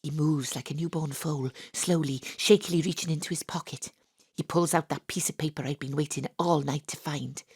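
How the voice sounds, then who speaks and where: Low-voiced, husky, hard-boiled female detective. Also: no echo, clean studio sound, full character voice. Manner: wry, deadpan. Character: hard-boiled wisecracking female private detective, sardonic and impatient. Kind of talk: first-person noir narration in an audio drama.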